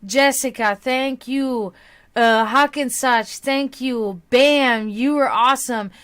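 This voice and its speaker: A woman's voice: a little high, takes it slowly, clear, resonant tone. Chatty woman streamer, laughs a lot. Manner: rambling, warm-hearted, laughs often. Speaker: streamer giving tarot readings. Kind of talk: casual livestream chat.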